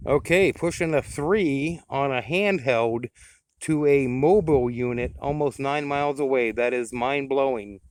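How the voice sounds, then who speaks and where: A man's voice: medium pitch, measured pace, a little hiss or hum. A man reviewing and testing a radio in a plain-spoken, explanatory way, as a hands-on demonstration.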